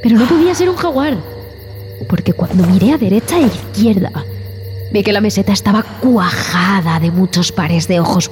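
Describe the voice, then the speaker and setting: An actress playing a haunted explorer who tells a horror tale in a dramatic, deliberate way, in audio fiction. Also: female voice, mid-range voice, darkish tone, natural voice. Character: haunted explorer recounting a terrifying jungle expedition.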